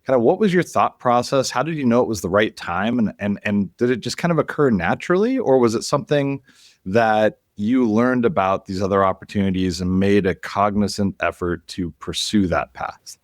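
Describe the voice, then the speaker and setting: A man hosts a business podcast, building long questions and stumbling over repeated words as he goes. His voice is very low, recorded dry and close with no room echo. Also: lively intonation. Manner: halting, repeats words while framing questions. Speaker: podcast host. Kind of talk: interview questions.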